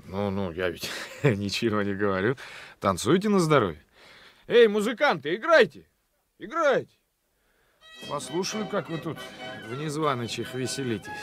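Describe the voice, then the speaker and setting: A man's voice: medium pitch, wildly swooping pitch, normal speed, pauses often, a bit bright, faint music behind. An actor playing a proud, swaggering village guardsman with a taunting manner in a radio play. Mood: genial, taunting. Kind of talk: Soviet radio play acting.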